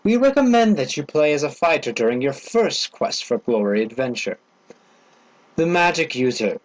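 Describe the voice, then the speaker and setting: A man narrates a game manual as a dramatic reading. His voice sits a little high and rises and falls widely. He enunciates deliberately, with theatrical emphasis on the phrases. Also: sing-song, poor audio.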